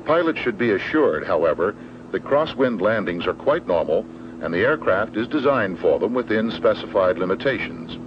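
Low-voiced American training film narrator, male. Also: big pitch swings. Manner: measured, matter-of-fact, formal. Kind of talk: scripted instructional narration, 1970s training film. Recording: dull, noisy old 16mm film soundtrack.

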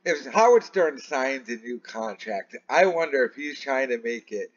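Slow-paced, rambling man in dull lo-fi audio. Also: mid-range voice, lively intonation. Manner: baffled, rambling, repeats phrases for emphasis. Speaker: online commentator. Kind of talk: casual opinion commentary. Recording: dull, lo-fi sound.

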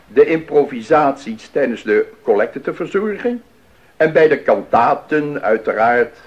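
Actor as animated, self-important official. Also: male voice, medium pitch, a little slow, close to an everyday voice. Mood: formal. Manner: formal, instructive, emphatic. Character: formal, self-important male town official. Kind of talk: Dutch radio drama acting. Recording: dull 1980s radio recording.

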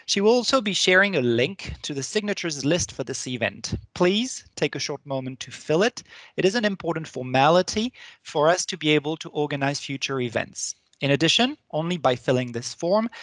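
Man, fairly high voice, formal host reading a scripted intro, dry sound. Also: fairly bright tone, dry recording. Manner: formal, read-out. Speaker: webinar host.

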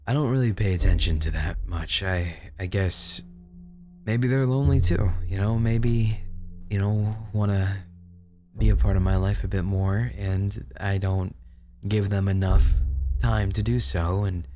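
A man playing a lonely, regretful father in an audio drama, halting and stammering as he talks. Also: low-pitched, mellow, soft background music. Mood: apologetic, downcast. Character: lonely, self-doubting father, subdued and regretful. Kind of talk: amateur audio drama acting.

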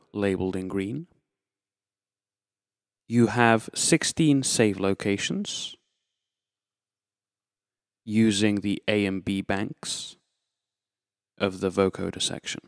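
Male tutorial presenter: fairly low, measured, explains step by step, very dry close-mic sound. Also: clear, resonant tone, no room sound. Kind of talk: instructional product demonstration, explaining step by step. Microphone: external dynamic microphone, close.

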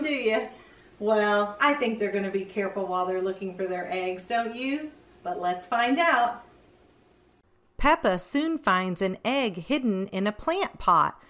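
A middle-aged woman hosting for young children, reading stories in an animated way and asking the listener questions. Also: a little high, echoey room, far from the mic, lo-fi sound. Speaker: children's show host and storyteller. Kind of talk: children's storybook reading and chat to young viewers.

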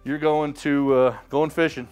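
A man's voice: fairly high pitch, fairly even intonation, unhurried, darkish tone, clean tone, soft background music. Middle-aged male host, upbeat and off the cuff, calling raffle winners in a small studio. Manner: upbeat, off-the-cuff, reads names and towns. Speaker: sweepstakes host. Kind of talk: casual prize drawing announcement. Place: indoor studio with wood walls and mounted trophies.

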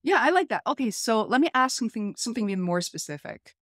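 A woman's voice: on the high side, big pitch swings, quick pace, no room sound. Chatty woman host, dry close sound. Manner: chatty, thinking aloud. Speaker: host demonstrating an app. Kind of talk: casual chat to camera and phone. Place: small home room, indoors.

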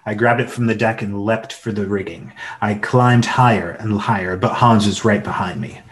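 A man's voice: on the low side, fairly even intonation, normal speed. A man giving dramatic scripted narration as the storyteller of an adventure radio play.

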